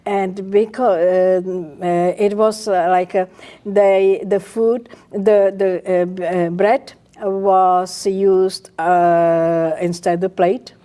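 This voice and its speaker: Czech-accented older woman, slow and halting. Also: mid-range voice, level tone, warm tone. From Czech Republic. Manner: halting, searching for words with many fillers. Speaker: guest chef. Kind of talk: casual interview chat.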